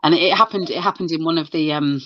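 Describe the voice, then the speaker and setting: A woman's voice: deep voice, fast, no echo. A woman hosting a casual video chat, telling stories in a chatty, stop-start way with lots of restarts. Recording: dull lo-fi sound.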